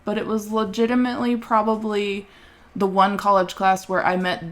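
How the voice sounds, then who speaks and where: A young woman co-hosting a casual art podcast, telling her story earnestly with animated asides and laughter. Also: medium pitch, moderate pace. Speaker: podcast co-host. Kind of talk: casual chat.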